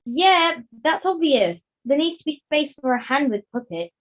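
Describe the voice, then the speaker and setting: A woman's voice: sits high, big pitch swings, normal speed. A woman playing a blunt, sceptical stuffed toy in a scripted puppet comedy over an online call. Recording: tinny, phone-like online call.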